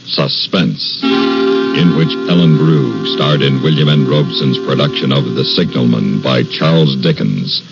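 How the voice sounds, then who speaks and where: A man with an extremely deep, gravelly voice announces an old radio suspense show. He is formal, ominous and unhurried. The recording is dull and vintage, with music playing clearly behind him.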